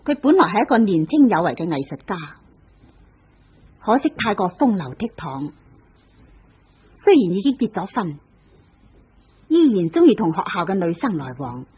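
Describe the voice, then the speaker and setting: A woman's voice: mid-range voice, very animated, normal speed, pauses often, breathy edge. A woman with a Hong Kong Cantonese accent narrating an old radio drama in a storytelling manner. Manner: storytelling, lilting delivery. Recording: dull old radio broadcast.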